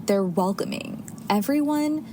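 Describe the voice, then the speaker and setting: A woman's voice: mid-range voice, animated, unhurried, mellow. Chatty American woman podcasting. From United States. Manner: chatty, many fillers and restarts. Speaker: podcast host. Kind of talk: casual solo podcast monologue. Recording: audible background noise.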